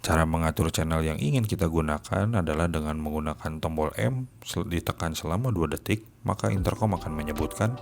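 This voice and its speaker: Guy with a really low voice reading out a product review script, music behind him. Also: fairly quick, gravelly. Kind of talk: scripted narration of a gadget review.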